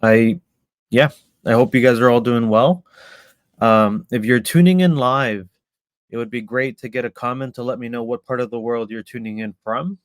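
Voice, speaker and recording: A man's voice: mid-range voice, normal speed, no room sound. A man from El Salvador giving a live online presentation about a community he founded, hesitant with many ums and restarts.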